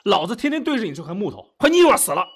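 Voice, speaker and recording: A man's voice: lowish voice, very animated, brisk. An actor in an audio drama playing a modern young man who is doting, exasperated and secretly lovesick. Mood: furious, jealous.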